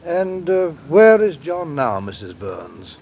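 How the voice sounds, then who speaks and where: An actor playing a methodical, formal police inspector with a measured, probing manner, in 1940s radio style. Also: male voice, on the high side, sing-song, a little mellow, dull audio, slight background noise, full character voice.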